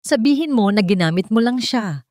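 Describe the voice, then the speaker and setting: A woman's voice: fairly high pitch, animated, moderate pace, darkish tone, dry recording, clean studio sound, voice pushed up, lightly acted. A woman voicing Franco, a sneering rich man. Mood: menacing. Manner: sneering, threatening. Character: arrogant wealthy man, cold and menacing.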